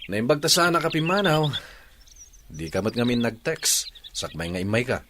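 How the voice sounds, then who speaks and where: Man playing casual young ilocano village playboy gone good, very sing-song pitch, noisy birds behind, radio drama. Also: big pitch swings, moderate pace, lightly acted.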